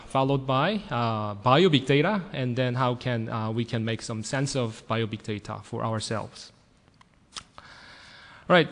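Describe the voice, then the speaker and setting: A middle-aged Korean man with a Korean accent presents to a conference in English, his second language. His delivery is informal and anecdotal, with light self-deprecating humor. The voice sits at an average male pitch and carries the long reverb of a big hall. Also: fairly bright tone. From South Korea. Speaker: university professor giving a conference presentation. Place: large conference hall, amplified talk.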